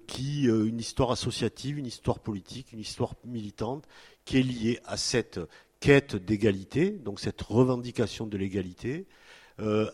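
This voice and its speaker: A man in his fifties from France, speaking at a panel in a halting way with many fillers and restarts. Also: mid-range voice, a little mellow, husky. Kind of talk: hesitant spoken talk at a public panel. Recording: long hall reverb.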